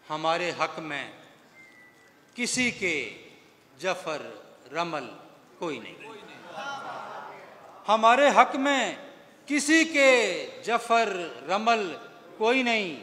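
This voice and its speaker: A man's voice: high-pitched, lively intonation, drawn-out words, long pauses, sharp tone. A man, a poet, reciting a ghazal at a poetry gathering in a large hall, declaiming each couplet, repeating lines, rising on the first line and falling at the end. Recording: echoey hall with long reverb.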